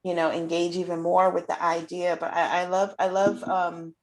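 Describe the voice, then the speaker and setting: A woman's voice: lowish voice, little pitch movement, moderate pace, poor audio. A middle-aged woman hosting a casual conversational interview, effusive and repeating phrases for emphasis. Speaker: show host and children's book author.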